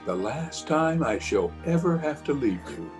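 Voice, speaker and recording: A man's voice: on the high side, sing-song, a little slow, music in the background. A man playing an exasperated but fond husband in old-time radio drama, with a testy, theatrical delivery. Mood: tender, romantic. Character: exasperated, fond husband of nine years, sparring with the maid. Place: at home, recorded over video call.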